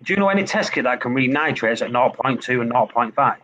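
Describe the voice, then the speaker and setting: A man's voice: medium pitch, expressive, quick pace, bright, forceful tone. A British man talking casually in an online hobby chat. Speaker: community chat participant. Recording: dull lo-fi voice chat, faint noise.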